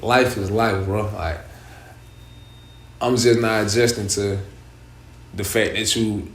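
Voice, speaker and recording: A man's voice: on the low side, fairly even intonation, slow pace. A young man with an Atlanta Southern accent, talking casually as a rapper guest in an interview, streetwise and profane in his storytelling. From east side of Atlanta, Georgia. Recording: echoey room.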